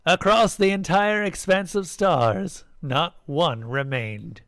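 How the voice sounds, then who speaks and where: A man's voice: a little high, a bit bright, dry recording, voice pushed up. A man playing a solemn priest who intones the narration of a sacred pageant within a story. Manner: intoning, measured.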